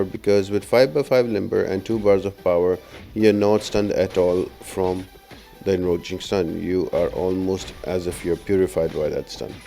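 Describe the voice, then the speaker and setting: A man's voice: low-pitched, dark tone. A man giving explanatory game walkthrough commentary, laying out tips step by step in an instructional way. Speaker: gaming guide creator. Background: background music.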